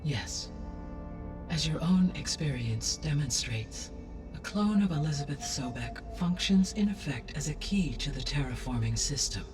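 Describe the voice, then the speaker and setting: Calm, distant, formal machine mind. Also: male voice, a little high, measured pace, music in the background. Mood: sober, reasoning. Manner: precise, formal, unemotional delivery. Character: calm, formal artificial intelligence, measured and analytical. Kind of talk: scripted video game dialogue.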